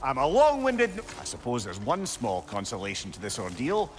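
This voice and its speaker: An actor playing a wry, talkative old companion in a video game, with a sardonic, wordy manner. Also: male voice, mid-range voice, wildly swooping pitch, normal speed. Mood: resigned, wry. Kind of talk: video game character acting. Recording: game music behind.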